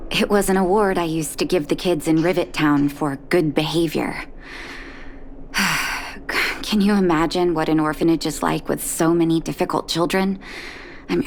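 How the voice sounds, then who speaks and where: An actress playing a weary, caring carer of children in a video game, measured and gently scolding, exasperated yet fond. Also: female voice, fairly low pitch, slight background noise, close to an everyday voice. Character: weary, caring adult woman, doctor and orphanage carer. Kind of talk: video game character acting.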